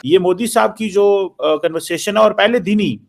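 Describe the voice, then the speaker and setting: A man's voice: sits high, normal speed, gravelly. A man talking in an unscripted political discussion as a panelist. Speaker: talk show panelist.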